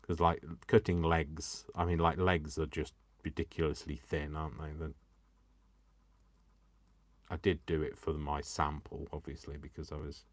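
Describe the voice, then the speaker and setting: Deep-voiced chatty English crafter. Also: male voice, flat delivery, moderate pace, no room sound. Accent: Coventry English, worn by years in Yorkshire. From England. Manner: chatty and self-mocking, talks to himself and the viewers. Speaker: crafting livestreamer. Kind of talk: live crafting demonstration chat.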